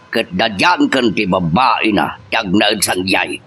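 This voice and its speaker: A man's voice: fairly low pitch, slow pace, pushed and bright, faint music behind, heavily acted. A man with an Ilocano accent playing an indignant, stubborn older husband and father in a family drama. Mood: grave. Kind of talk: radio-style Ilocano family love drama acting. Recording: clear background noise.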